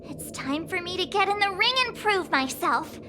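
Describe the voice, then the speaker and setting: A woman's voice: extremely high pitch, moderate pace, a little hiss or hum, full character voice. An actress playing a nervous, chatty girl, a beginner swordswoman about to compete, in a game cutscene. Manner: anxious, chatty and excitable. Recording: game audio with music behind.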